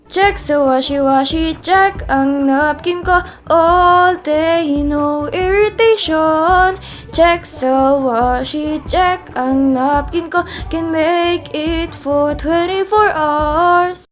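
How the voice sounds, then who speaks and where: Perky commercial jingle singer played by a woman, high-pitched, unhurried, light music behind. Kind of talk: sung advertising jingle.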